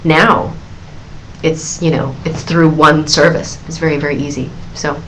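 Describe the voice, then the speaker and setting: A woman in her thirties answers viewers' questions on a live stream, chatty and giggly, with plenty of fillers and restarts. Her voice sits low. A faint hiss runs underneath. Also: steady tone, unhurried, fairly bright tone, low-quality recording. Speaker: singer hosting a live Q&A stream.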